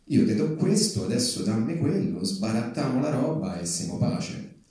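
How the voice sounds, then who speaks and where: A man's voice: mid-range voice, big pitch swings, moderate pace, warm tone, natural voice. A man voicing a naive, good-natured native in comic verse, plain-spoken, with a Roman Italian accent. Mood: eager, friendly. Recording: echoey hall, recorded from far off.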